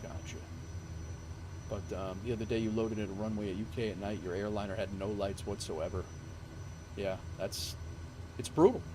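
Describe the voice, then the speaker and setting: A man's voice: lowish voice, fairly even intonation, normal speed, long pauses, background noise. A man streaming live, chatting casually and rambling with many fillers and restarts, his voice starting to go. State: losing his voice.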